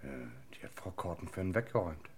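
An actor playing a dutiful, slightly puzzled police assistant in a German radio crime drama. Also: male voice, low voice, fast talker, a little hiss or hum, close to an everyday voice.

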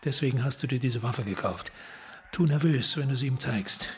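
An actor playing a calculating police detective in a radio drama, talking in terse, commanding instructions. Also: male voice, medium pitch, normal speed, close to an everyday voice. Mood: urgent, controlled.

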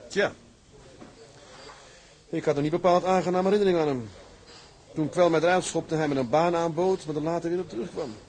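An actor playing a proud, stubborn tugboat captain in a Dutch radio drama, with a blunt, firm manner and a slightly husky voice. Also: male voice, a little high, a little fast, pauses often, a little mellow, natural voice. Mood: resentful.

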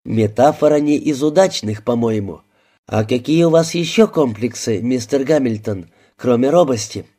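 A poised young woman with a cool, lightly ironic way of speaking, as a male narrator puts her on. The tone stays mellow and unhurried, her teasing always polite. She sounds like a figure in a read-aloud crime novel. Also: mid-range voice, animated, close to an everyday voice. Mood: wry.

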